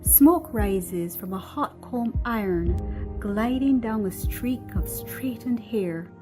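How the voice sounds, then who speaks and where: A woman's voice: on the high side, expressive, slow pace, over music. A woman reading a screenplay aloud as narrator, delivering scene headings and action in scripted narration.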